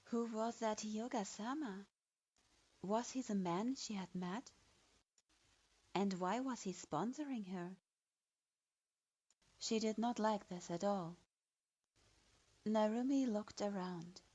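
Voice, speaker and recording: A woman narrating a dark fan-fiction story in a slow, measured reading voice. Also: medium pitch, breathy edge, muffled recording. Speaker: fanfiction reader.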